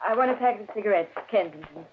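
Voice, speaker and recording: A woman's voice: medium pitch, animated, fast talker, natural voice. A woman as a wary stranger in town. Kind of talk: 1940s radio acting. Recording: tinny old radio recording, hiss.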